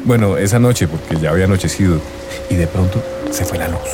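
A man's voice: low-pitched, quick pace, over music, lightly acted. An actor playing an earnest young man in love, searching and telling his story in a radio drama. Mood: wistful, earnest.

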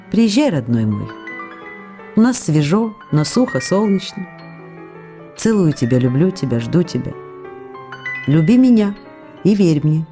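A woman's voice: medium pitch, mellow, lightly acted. An actress voicing a loving young actress in a radio drama, reading her letters in a tender, pleading, intimate way. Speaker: letter reader.

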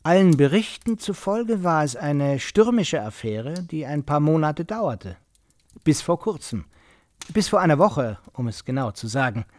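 A genial, talkative host in his forties in a naturalistic radio drama. His pitch swings widely as he makes effusive, slightly needling small talk, recorded very dry and close. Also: very animated, unhurried, no echo. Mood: storytelling. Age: 40s. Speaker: actor in a radio play. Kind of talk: naturalistic radio play acting.

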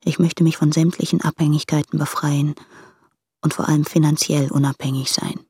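Breathy, creaky-voiced woman torn in love. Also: low-pitched, little pitch movement, moderate pace, heavily acted. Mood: resolute but pained. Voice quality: breathy, hushed. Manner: hesitant, subdued. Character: anguished married woman torn between husband and lover. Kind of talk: intimate German radio play monologue. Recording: close dry studio, music behind.

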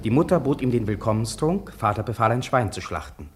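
A man's voice: medium pitch, expressive, fairly quick, background noise, natural voice. An actor playing a farmer's son in a radio drama, soberly recounting his family's past in plain, earnest sentences. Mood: sober, recollecting. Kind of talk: radio drama first-person narration.

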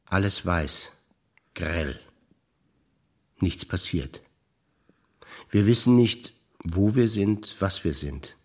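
An older man performing scripted stage dialogue, measured and reflective, often sinking to hushed low phrases. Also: lively intonation. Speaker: stage actor.

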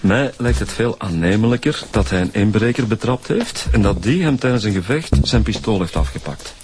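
An actor with a Flemish accent playing a talkative police detective. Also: male voice, fairly low pitch, lively intonation, normal speed, noisy background, lightly acted. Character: talkative detective, adult man. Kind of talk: radio drama acting.

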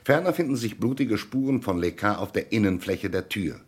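A man acting a formal, authoritative police commissioner who deliberately questions suspects in a German radio crime drama. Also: on the low side, lively intonation, breathy edge. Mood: stern, insistent. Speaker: actor.

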